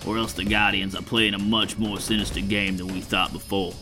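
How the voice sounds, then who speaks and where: A man voicing a gruff male gunslinger in a tabletop game, blunt and quick to curse. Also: fairly low pitch, flat delivery, over music, lightly acted. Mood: determined.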